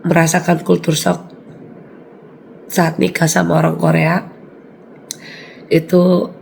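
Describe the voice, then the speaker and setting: A woman in her forties, hoarse and raspy from a cold, chatting casually to her viewers and laughing often. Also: lowish voice, little pitch movement, takes it slowly, darkish tone, slight background noise, low-quality recording. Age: 40s. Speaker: vlogger.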